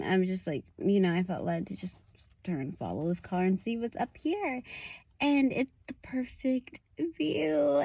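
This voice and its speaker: A woman evangelist with a raspy voice, giving a casual devotional talk outdoors, full of "like"s and restarts. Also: medium pitch, dry recording. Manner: loose and conversational, many "like"s and restarts. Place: outdoors at a park overlook.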